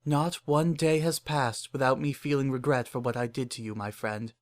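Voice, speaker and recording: A man's voice: medium pitch, fairly even intonation, normal speed, natural voice. A man voicing an elegant, caring grown mare with a genteel, affectionate manner in a story. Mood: regretful.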